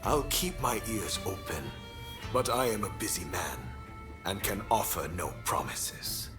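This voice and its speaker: An actor playing a haughty, powerful chief minister in a samurai-era video game, imperious and disdainful in a measured way. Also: male voice, low-pitched, animated, over music. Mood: dismissive. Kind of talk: video game cutscene acting.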